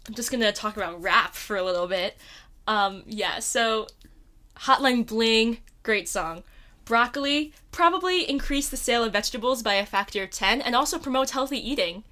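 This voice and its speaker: A woman's voice: fairly high pitch, normal speed, bright, forceful tone. A young woman co-hosting a podcast in casual chat, with a playful, gushing and emphatic way of talking. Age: young adult. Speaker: podcast co-host.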